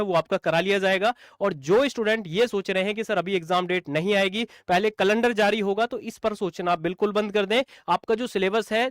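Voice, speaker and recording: A man's voice: sits high, fairly bright tone, dry recording, clean studio sound. A man explaining exam updates informally to students, repeating points and posing rhetorical questions. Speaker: exam coaching teacher.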